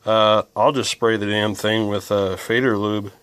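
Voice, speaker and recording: Man, fairly low voice, casual repair demo, musing, thinking aloud. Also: flat delivery, a little slow, fairly bright tone, clear, resonant tone, no room sound. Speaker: radio repair hobbyist presenter. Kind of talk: casual hands-on repair demonstration.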